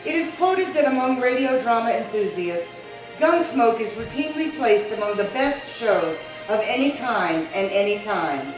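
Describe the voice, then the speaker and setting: A woman's voice: a little high, animated, normal speed. A woman reading a scripted announcement as the announcer of a radio show. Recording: echoey hall, distant mic. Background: music.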